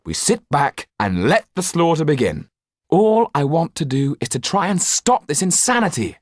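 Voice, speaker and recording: A man's voice: on the high side, sing-song, normal speed, dry recording, clean studio sound, pitched above the performer's own voice. A man voicing an earnest, defiant young idealist in a storybook, speaking urgently and directly. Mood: resolute.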